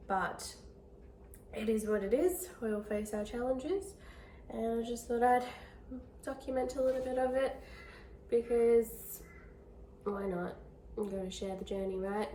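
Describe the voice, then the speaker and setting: A young woman with a hoarse, croaky voice from illness, chatting casually in a video diary, tired but trying to stay upbeat. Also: dark tone, a little hiss or hum, rough recording. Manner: tired, low-key, upbeat despite illness. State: congested, chesty cough. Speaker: vlogger.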